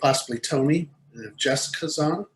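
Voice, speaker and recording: A man's voice: a little high, fairly quick. A man giving a brief, informal client services report on a work conference call. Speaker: client services staff member.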